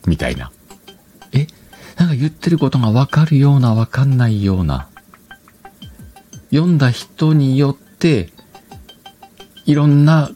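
A man with a low voice and lively intonation hosts a casual solo podcast. He rambles colloquially and corrects himself as he goes, over faint music. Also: breathy edge. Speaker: podcast host. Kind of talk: casual chatty solo show. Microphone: dedicated microphone.

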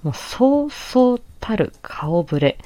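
A woman's voice: medium pitch, very animated, unhurried, mellow, no echo, soft background music. A woman hosting a casual solo audio stream, talking in a chatty, polite, explanatory way. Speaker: host and amateur voice actress.

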